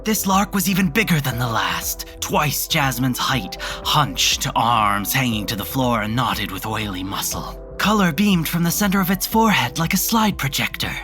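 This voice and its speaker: A woman narrating a horror audio drama in a measured, literary storytelling manner. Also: very deep voice, sing-song, a bit bright, full character voice. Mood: ominous. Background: music.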